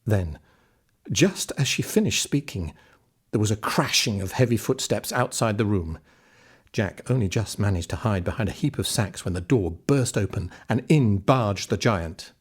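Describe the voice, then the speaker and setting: Husky male children's storyteller. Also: fairly low pitch, expressive, normal speed. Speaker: audiobook narrator. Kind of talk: expressive scripted storytelling for children.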